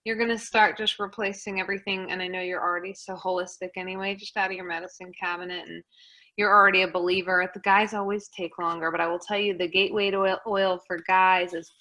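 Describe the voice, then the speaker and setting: A woman hosting a casual group chat, giving encouraging advice. Her voice is bright and forceful at a middle pitch. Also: flat delivery, fast talker. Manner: encouraging, advising.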